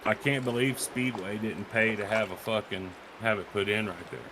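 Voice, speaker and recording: A man's voice: on the low side, steady tone, normal speed. A man chatting casually on a live stream, blunt and prone to swearing. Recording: clearly noisy background.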